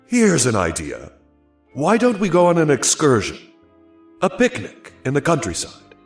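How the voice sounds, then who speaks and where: An actor playing a secretive, self-important male spy in a dramatized audio story, with theatrical, drawn-out delivery. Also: on the high side, wildly swooping pitch, exaggerated voice. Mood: slyly cheerful. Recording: roomy echo over background music.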